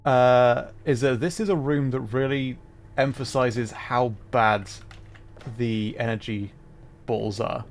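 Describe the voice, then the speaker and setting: A man's voice: medium pitch, fairly even intonation, takes it slowly. A man hosting a gameplay livestream with casual, chatty, self-deprecating commentary. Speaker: livestream host.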